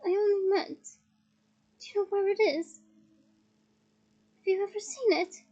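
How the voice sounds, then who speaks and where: A woman's voice: extremely high pitch, steady tone, measured pace, dark tone, highs cut off, voice pushed up. A woman voicing an earnest, urgent teenage boy wizard.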